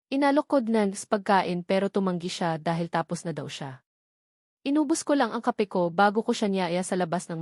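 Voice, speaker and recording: A woman's voice: on the low side, darkish tone, dry recording, studio quality. Filipino woman narrating a romance story. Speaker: audiobook narrator. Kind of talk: scripted first-person narration of a romance novel.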